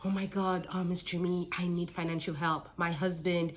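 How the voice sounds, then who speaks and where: A woman's voice: fairly low pitch, level tone, normal speed, lightly acted. A woman with a Nigerian accent voicing a young female employee who keeps begging for money, plaintive and pleading.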